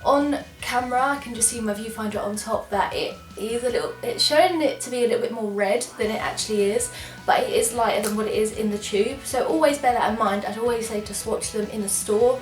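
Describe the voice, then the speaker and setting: A woman's voice: a little high, a little fast, reverberant, soft background music. A young English woman with a stuffy, congested voice from a cold, chatting enthusiastically as a beauty vlogger trying on products. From England. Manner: chatty, enthusiastic, apologetic asides. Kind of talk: casual product try-on chat.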